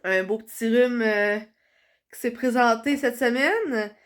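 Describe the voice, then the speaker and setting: A young woman with a Quebec French accent, chatting excitedly in a casual vlog while congested from a cold. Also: on the high side, a little slow. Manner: chatty and excitable, many fillers. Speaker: vlogger.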